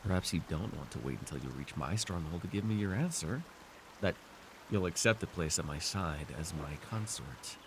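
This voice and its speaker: A man's voice: low voice, lively intonation, moderate pace, noisy background. A man playing an arrogant, seductive fantasy warlord in an intimate roleplay, teasing and theatrical as he speaks to one listener. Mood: smug, coaxing. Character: arrogant, seductive male fantasy villain warlord, dream-wielding conqueror. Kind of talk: immersive ASMR fantasy roleplay acting.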